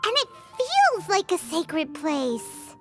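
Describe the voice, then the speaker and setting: A tiny fairy sidekick from a video game, with an extremely high, squeaky child's voice. The delivery is excitable and indignant, full of exclamations and wide swings in pitch. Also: female voice, takes it slowly, heavily acted. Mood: amazed. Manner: exclamatory, speaks of self in third person. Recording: music behind the voice.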